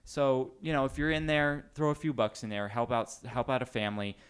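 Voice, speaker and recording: A man's voice: medium pitch, fairly quick, fairly bright tone, clear, resonant tone. An American man hosting a podcast in a casual, informal, joking chat style. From United States. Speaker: podcast host.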